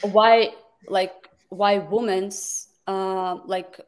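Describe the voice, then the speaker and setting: Woman guest from Kazakhstan, halting speech full of restarts, mid pitch. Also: steady tone. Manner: halting, many fillers and restarts. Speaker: guest advocate. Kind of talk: interview answers.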